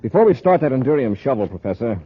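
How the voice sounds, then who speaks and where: An actor playing a steady, cautious space patrol commander, authoritative and clipped, in 1950s radio drama style. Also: male voice, medium pitch, fast talker, breathy edge. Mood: businesslike. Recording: dull lo-fi vintage radio recording.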